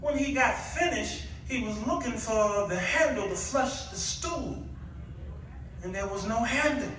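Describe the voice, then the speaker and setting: Male preacher, high and bright voice, dramatic repeated punchlines, call-and-response delivery, recorded in a big echoey church. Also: lively intonation, measured pace. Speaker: guest preacher. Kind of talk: animated sermon with repetition and call-and-response. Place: church sanctuary before a responding congregation. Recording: very echoey hall, distant microphone.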